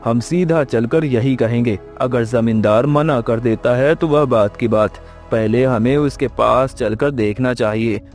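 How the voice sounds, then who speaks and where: A man's voice: mid-range voice, measured pace, few pauses, darkish tone, soft background music, natural voice. A man voicing a plain-spoken, supportive villager in a Hindi moral-story cartoon, direct and encouraging.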